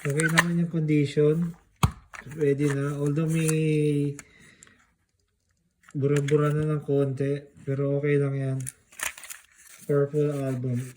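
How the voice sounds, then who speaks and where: A Filipino man with a slightly high voice talks at a slow, unhurried pace. He sounds casual and laid-back, like a hobby collector vlogging an unboxing. Faint noise, clicks and crinkling packaging sit behind him. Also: level tone. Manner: laid-back, mixes Tagalog and English.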